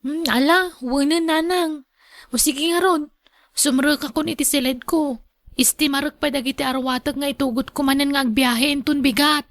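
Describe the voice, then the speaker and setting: Woman acting high-voiced, obedient Ilocano daughter. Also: fairly even intonation, moderate pace, a bit bright, no room sound, studio quality. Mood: dutiful. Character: obedient young female student, a daughter. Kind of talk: radio drama acting.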